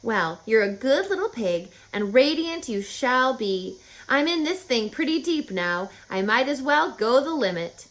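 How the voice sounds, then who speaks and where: A woman voicing a kindly, bossy, quick-witted female spider in a children's storybook, articulate and telling tales with relish. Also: fairly high pitch, big pitch swings, a bit bright, reverberant, pitched above the performer's own voice, rough recording. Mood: affectionate. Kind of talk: children's storybook read-aloud.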